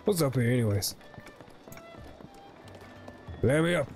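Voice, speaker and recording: A man's voice: medium pitch, expressive, takes it slowly, vocal fry, a little mellow. A man giving casual, slangy, playful commentary as he plays a video game. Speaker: gaming host. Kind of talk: casual let's play commentary. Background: game music.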